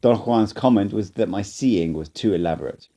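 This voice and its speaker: A man reading an audiobook in first-person narration, calm and measured. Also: lowish voice. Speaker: amateur audiobook reader.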